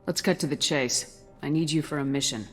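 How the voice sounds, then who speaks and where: An actress playing a determined military spaceship commander in a video game, speaking in a direct, businesslike way. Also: female voice, sits low, a little fast, soft background music. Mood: firm, businesslike. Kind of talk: video game cutscene acting.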